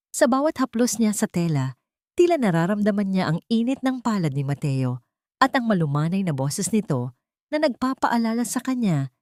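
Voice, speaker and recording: A woman narrating a scripted dramatic story in a measured, solemn, literary manner. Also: lowish voice, darkish tone, dry recording, clean studio sound.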